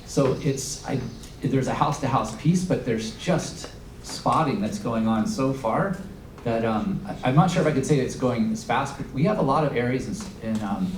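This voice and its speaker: A man on a panel answering a question thoughtfully, with fillers and pauses. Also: medium pitch. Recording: echoey hall, far from mic, noise.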